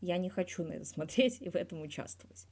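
A woman's voice: fairly low pitch, lively intonation, fast, darkish tone, slightly rough. A woman voicing a candid, wry young male star athlete giving a guarded but blunt interview in a story. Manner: guarded yet blunt, dryly teasing, swears freely.

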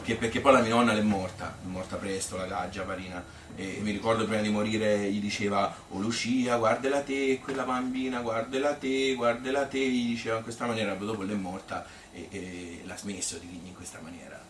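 A man with a Tuscan accent playing a nostalgic, cheerful old grandmother in a chatty, halting stage monologue. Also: on the low side, steady tone, fairly bright tone, reverberant, far from the mic, slight background noise, natural voice. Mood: wistful, tender. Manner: colloquial, halting, repeating phrases, chatty. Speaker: solo stage storyteller. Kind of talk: theatrical monologue as spontaneous spoken reminiscence.

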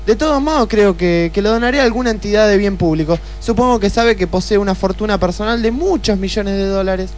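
A woman's voice: medium pitch, a little fast, few pauses, a little mellow, slight background noise, lightly acted. A woman playing a melodramatic, haughty femme fatale in a comic radio detective play, with a theatrical, curt delivery. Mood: composed.

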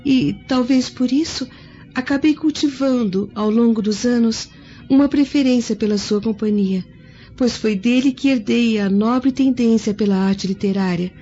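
A woman's voice: fairly high pitch, a little slow, dark tone, close to an everyday voice. A woman playing a refined, bookish young Roman noblewoman in a radio drama, speaking formally and earnestly. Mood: tender, puzzled. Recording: dull lo-fi audio with music behind.

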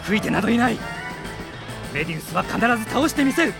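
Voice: medium pitch, big pitch swings, fast, gravelly, over music. A performer playing a resolute, defiant young prince with firm, urgent speech in a radio drama.

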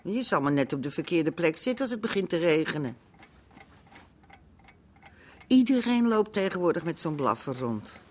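Fretful, blunt wife. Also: female voice, lowish voice, sing-song, brisk. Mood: anxious, gossipy. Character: worried, nagging middle-aged wife running an Amsterdam café. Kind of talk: naturalistic radio drama acting.

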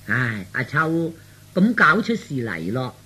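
A man's voice: mid-range voice, very animated, slow, sharp tone, slightly rough, heavily acted. A man voicing a meddling middle-aged aunt in Cantonese radio storytelling, earnest, insistent and colloquial. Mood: scolding. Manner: insistent, colloquial, full of rhetorical tags.

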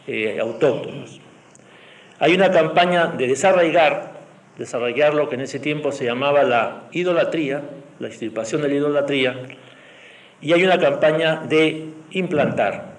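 A man with a slightly high voice explains things like a history professor. He builds his points in measured phrases, repeating words for emphasis. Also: low-quality recording. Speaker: historian and university professor, priest. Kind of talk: explanatory academic commentary.

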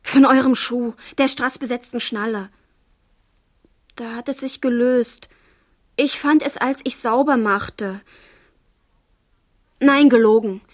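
A woman's voice: a little high, steady tone, slow, long pauses. An actress playing an impulsive, devoted fifteen-year-old girl in a poetic radio drama, theatrical and fervent. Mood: confessing, eager. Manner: theatrical, fervent, swinging from hushed to impetuous. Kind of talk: German radio drama acting, poetic verse.

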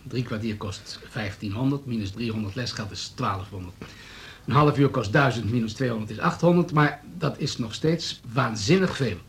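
A man's voice: medium pitch, moderate pace, close to an everyday voice. Condescending male politician played by an actor. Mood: matter-of-fact. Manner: smooth, businesslike, needling. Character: hard-nosed, condescending male town politician. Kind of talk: Dutch radio drama dialogue.

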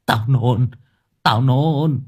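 A man's voice: medium pitch, extremely slow, breathy edge, full character voice. A man voicing a panicky, squeamish male sidekick in a comic ghost story, yelping in frantic exclamations. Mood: panicked, disgusted.

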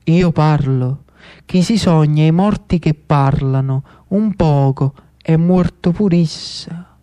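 High-voiced Neapolitan man, theatrical storyteller. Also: slow pace, dark tone, smooth. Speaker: storyteller-actor. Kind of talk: theatrical scripted narration.

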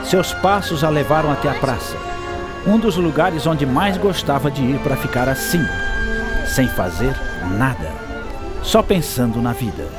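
A man's voice: medium pitch, animated, unhurried. A man narrating a radio drama as a scripted storyteller. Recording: loud music under narration.

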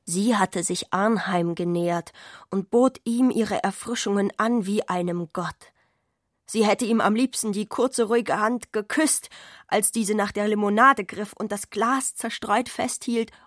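A woman's voice: mid-range voice, unhurried, no echo, studio quality. A woman reading literary narration as a narrator in a radio play.

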